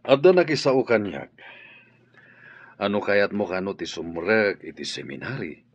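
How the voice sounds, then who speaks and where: Guy doing a fatherly principal, husky low voice, swings up and down a lot, muffled. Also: animated, measured pace, no room sound, full character voice. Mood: kind, serious. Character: calm male school principal, fatherly. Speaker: school principal. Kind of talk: radio drama acting.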